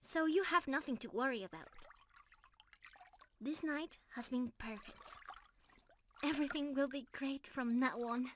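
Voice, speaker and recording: A woman's voice: high-pitched, a little slow, pauses often, slight background noise. A woman voicing a young, sweet, adoring mermaid sweetheart in an emotional, affectionate ASMR romance roleplay. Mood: tender, reassuring. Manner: affectionate, breathy sighs and giggles, emotional.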